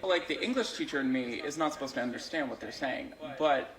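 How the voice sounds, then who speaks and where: A man's voice: mid-range voice, expressive, brisk, roomy sound, distant mic, crowd noise, low-quality recording. A man who teaches English telling a story animatedly and laughing as he talks. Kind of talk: storytelling to camera.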